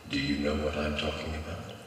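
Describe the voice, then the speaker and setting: An actor playing a calm, grave mentor who speaks in slow, measured, solemn tones, heard as a film clip over hall speakers. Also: male voice, rumbling low, far from the mic, noisy background. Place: large conference hall.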